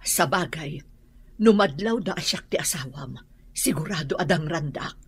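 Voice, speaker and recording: A woman acting a wary, questioning wife with a measured manner in a radio drama. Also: deep voice, expressive, slightly rough, exaggerated voice. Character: wary, questioning adult wife plotting in secret.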